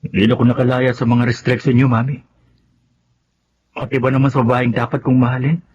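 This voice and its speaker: A man's voice: mid-range voice, flat delivery, brisk, darkish tone, breathy edge, lo-fi sound, close to an everyday voice. An actor with a Filipino accent playing an earnest, lovesick young man in a radio drama. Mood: hurt, pleading. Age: young adult. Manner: earnest, pleading. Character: lovesick, pleading young man, dutiful son in love.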